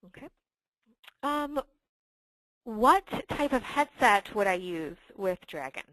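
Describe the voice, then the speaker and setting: A woman with a medium-pitched voice moderates a webinar in an informal style. Her delivery is halting and hesitant, and the recording is dry and close with no real echo. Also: lively intonation, a bit bright.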